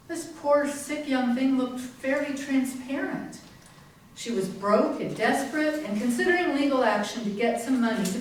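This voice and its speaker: A woman's voice: fairly high pitch, lightly acted. A woman playing a determined, indignant activist and investigator in dramatic readers theater. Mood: shocked, resolute. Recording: very echoey hall, far mic, faint noise.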